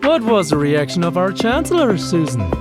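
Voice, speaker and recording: A woman's voice: on the low side, very animated, moderate pace. A woman playing a breezy, jokey news co-presenter in an audio drama broadcast. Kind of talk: scripted news broadcast parody. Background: music.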